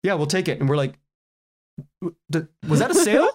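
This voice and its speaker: Chatty man, slightly high voice. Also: huge pitch swings, brisk. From Canada. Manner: chatty, acts out quoted dialogue, chuckles while talking. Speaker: podcast guest, writer and podcaster. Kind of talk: animated casual storytelling in an interview.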